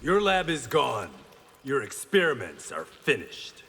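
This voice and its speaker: A man's voice: mid-range voice, huge pitch swings, measured pace, fairly bright tone, husky, big-room sound, exaggerated voice. An actor playing a stoic blind swordsman hero in a video game, with dry, confident wit. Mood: defiant.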